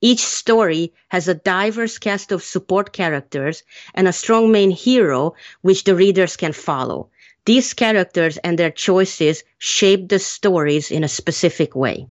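Woman from Hungary, lowish voice, measured earnest author answers, muffled lo-fi recording. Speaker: author being interviewed. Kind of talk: prepared interview answers. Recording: muffled lo-fi sound.

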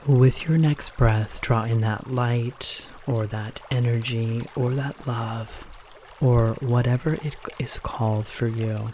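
A man leading a guided meditation in a gentle, unhurried manner, leaving pauses between short phrases. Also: medium pitch, fairly even intonation, a little hiss or hum.

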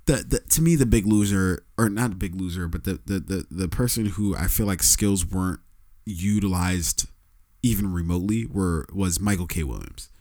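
A man with a fairly low voice talks through a film review in a loose, casual way. He stops and restarts often, stacking up fillers as he hunts for words. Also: a little mellow. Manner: halting, many restarts and fillers. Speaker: podcast co-host.

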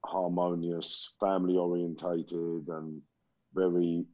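Man in his 40s, very low mellow voice, dry dull call audio, measured and reflective. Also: little pitch movement, no echo. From England. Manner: slow, reflective, many pauses and ums. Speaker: guest. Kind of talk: life story interview.

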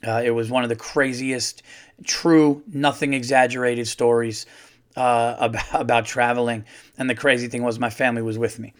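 A middle-aged man, a comedian hosting his own podcast, chatting casually and rambling with lots of fillers, his voice a little hoarse from touring. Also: mid-range voice, little pitch movement, moderate pace. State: slightly hoarse, losing his voice. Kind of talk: casual solo podcast monologue.

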